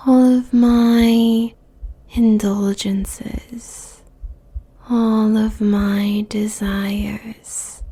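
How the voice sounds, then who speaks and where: Woman doing a gloating succubus demon queen, super slow breathy whisper, dark soft tone, teasing and possessive, intimate audio roleplay. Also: mid-range voice, level tone. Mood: commanding, sultry. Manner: slow, teasing, drawn-out phrases.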